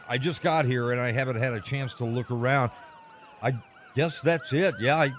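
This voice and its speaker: A man's voice: mid-range voice, lightly acted. Man playing a flustered radio reporter over noise. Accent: American. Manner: halting, descriptive, searching for words. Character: earnest, flustered on-the-scene radio news reporter. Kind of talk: radio play live news commentary.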